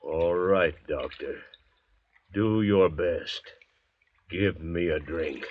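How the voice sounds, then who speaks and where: An actor playing a surly, defiant young convict in an old-time radio drama, blunt, sneering and demanding. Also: male voice, sits low, very slow, slight background noise. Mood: defiant, resentful. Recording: dull vintage radio recording.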